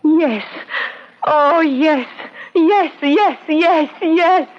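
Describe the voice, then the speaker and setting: A woman's voice: high-pitched, very slow. An actress playing an earnest, anxious young Irish woman in love, in a radio drama. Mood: eager. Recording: faintly noisy dull old radio recording.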